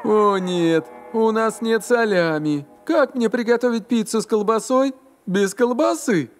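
Casting: excitable little mustached cartoon cook, childlike and exclamatory, high voice, unhurried pace. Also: male voice, faint music behind. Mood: dismayed, whiny.

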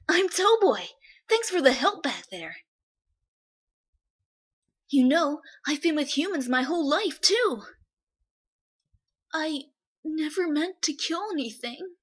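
A woman voicing a friendly, wistful young male wolf for an animation, earnest and halting when upset. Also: sits high, exaggerated voice. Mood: sad, pleading.

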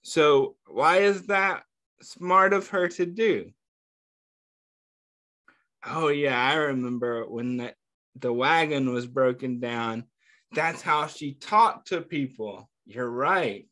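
A man reading a picture book aloud to children, pausing to ask them gentle questions between passages. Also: mid-range voice. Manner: slow, pausing reading with small slips. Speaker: children's storyteller. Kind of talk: read-aloud storytime.

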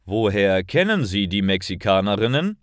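A man voicing a stern, suspicious male border official in a story reading, measured and icy as he interrogates. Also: on the low side, sing-song, dry recording, clean studio sound.

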